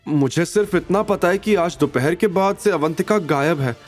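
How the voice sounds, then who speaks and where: A man's voice: sits high, moderate pace, soft background music, pitched above the performer's own voice, natural voice. A man playing an anxious, deferential assistant in an audio drama, with a hesitant, stammering manner. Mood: worried. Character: anxious, deferential male assistant delivering bad news. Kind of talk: Hindi audio drama acting.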